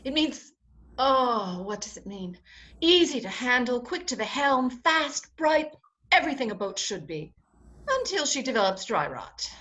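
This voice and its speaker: Actress as bright, imperious high-voiced society bride. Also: female voice, big pitch swings, measured pace. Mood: wistful. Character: haughty, sharp-tongued society bride on wedding eve. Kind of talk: 1940s radio play acting.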